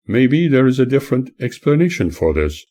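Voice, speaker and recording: A man reading scripted audiobook-style narration in a measured, earnest, oratorical way. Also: mid-range voice, big pitch swings, mellow. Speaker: author reading own book.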